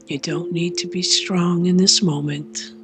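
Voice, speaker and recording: A woman guides a meditation at a very slow, unhurried pace. Her voice is soft and soothing, turning breathy at times, and she leaves long spaces between short phrases. Music plays clearly behind her. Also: on the low side.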